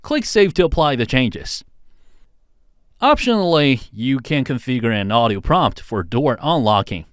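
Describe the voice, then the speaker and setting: A man's voice: mid-range voice, sing-song, a little slow, clear, resonant tone, dry recording, studio quality. A man reading a scripted instructional narration as a tutorial narrator, guiding step by step.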